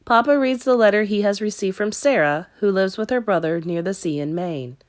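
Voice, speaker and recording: A woman teacher narrating a children's story in an expressive read-aloud style. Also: medium pitch, a little fast.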